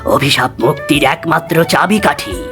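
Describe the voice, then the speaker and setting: An actor voicing a solemn, wise male sage in a cartoon fairy tale, grave and deliberate in manner. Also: medium pitch, music in the background, close to an everyday voice. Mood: solemn counsel.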